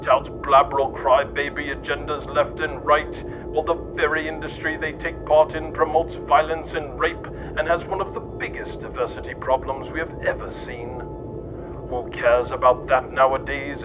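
Guy reading a satirical script all mock serious, slightly high voice, dull lo-fi sound. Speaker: narrator. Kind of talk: scripted satirical narration, read aloud. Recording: dull lo-fi audio, loud rumbling noise.

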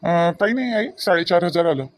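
A man's voice: high-pitched, expressive, fairly quick, dry recording. A man voicing a dutiful, matter-of-fact adult son in a comedy cartoon, with a Varhadi Marathi accent. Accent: Varhadi Marathi (Vidarbha).

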